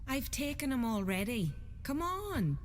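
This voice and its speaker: An actress playing a cheeky, gossipy young village girl in a video game, with a teasing, folksy, expressive manner. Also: sits high, a little slow, faint music behind. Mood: brisk, breezy. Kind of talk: video game cutscene acting.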